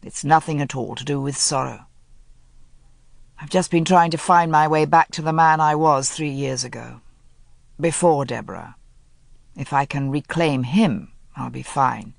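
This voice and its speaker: A woman's voice: low-pitched, measured pace, creaky, no echo, voice pushed down. A woman voicing a grieving upper-class man who speaks in a subdued, clipped, self-reproaching way, read as an audiobook character. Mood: weary.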